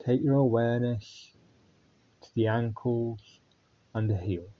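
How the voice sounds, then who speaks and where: A man guiding a body scan meditation in a calm, unhurried way with long pauses. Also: medium pitch, muffled recording. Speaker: meditation guide.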